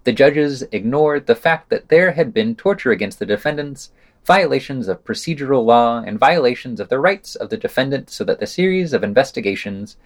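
A male podcast news reader with an average-pitched voice and a lively, varied melody. He reads scripted items and slips in sardonic, wry remarks of his own. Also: brisk.

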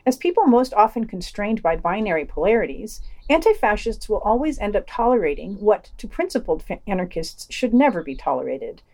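A woman's voice: medium pitch, very animated, brisk, few pauses, darkish tone. A woman hosting a podcast, reading scripted reviews and quotations in a matter-of-fact, opinionated way. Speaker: podcast host reading a news roundup. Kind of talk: scripted review commentary, read aloud with quotations.

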